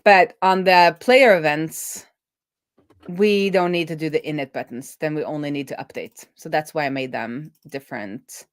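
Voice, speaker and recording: A woman hosting a casual coding stream as a web developer, talking informally and thinking out loud as she works. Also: low-pitched, normal speed, dry recording, clean studio sound.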